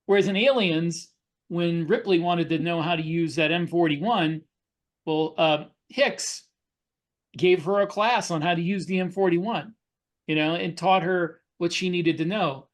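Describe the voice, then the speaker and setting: A man with a fairly high-pitched voice talks casually as a podcast co-host. He lays out his opinions in an explanatory way, as part of a loose discussion. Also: unhurried.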